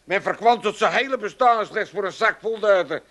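An actor playing a cranky, proud old junk dealer from Amsterdam with an Amsterdam accent, in a radio play. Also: male voice, high-pitched, brisk, a bit bright. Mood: pompous. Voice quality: blustering, theatrical.

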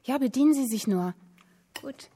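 A woman's voice: high voice, animated, normal speed, noisy background. An actress playing an earnest young woman writer, speaking in a dramatic, literary radio drama style. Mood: polite, hesitant. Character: earnest young woman writing and reading her story.

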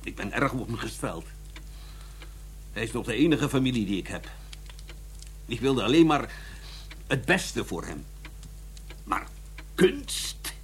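A man's voice: medium pitch, very animated, slow pace, pauses often, a little hiss or hum. A man playing an irascible older colonel in a radio drama, with a curt, brusque and indignant manner. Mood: grudging, softening. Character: gruff, irascible older colonel, suspicious guardian uncle.

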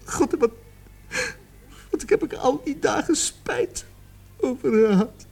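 An actor in a radio drama playing a cornered, remorseful young male murder suspect who confesses in a halting, defensive way. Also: extremely high pitch, expressive, vocal fry, darkish tone, slightly rough, faint music behind, heavily acted. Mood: tearful, remorseful.

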